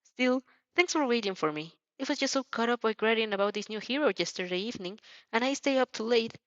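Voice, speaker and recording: Bashful, earnest young boy for an audio story played by a woman, eager and higher-pitched, dull lo-fi sound. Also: animated, a little fast, fairly bright tone, no room sound. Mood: eager, apologetic. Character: shy, earnest, excitable young schoolboy, apologetic rambler.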